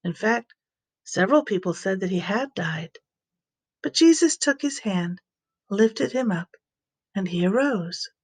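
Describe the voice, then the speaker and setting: A woman reading scripture aloud as scripted narration, with expressive storytelling delivery. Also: medium pitch, measured pace, long pauses. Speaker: scripture reader.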